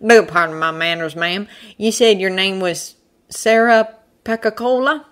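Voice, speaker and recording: Woman playing a folksy, animated Texan aeronaut. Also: medium pitch, measured pace, clean tone, pitched above the performer's own voice, natural voice. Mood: puzzled, insistent. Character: plain-spoken, polite, argumentative Texan man, an aeronaut.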